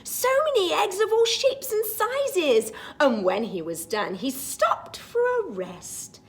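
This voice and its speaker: A woman storytime teacher reading a picture book aloud to young children, expressive and sing-song, with chatty asides. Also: high voice, takes it slowly.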